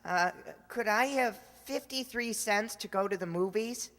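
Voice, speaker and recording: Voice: mid-range voice, unhurried, a bit bright, long reverb tail, close to an everyday voice. A performer playing an eager, slangy schoolboy nephew in old-time radio comedy style, boyish and casual. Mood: sheepish, eager.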